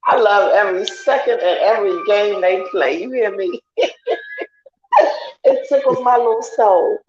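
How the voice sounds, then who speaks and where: A woman's voice: measured pace, long pauses, a little hiss or hum. A woman, chatting casually as a guest on an online sports talk show and laughing often. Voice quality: deep raspy voice. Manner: laughs often, loud and teasing. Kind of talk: casual chat. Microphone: online call. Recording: roomy, dull lo-fi online call audio.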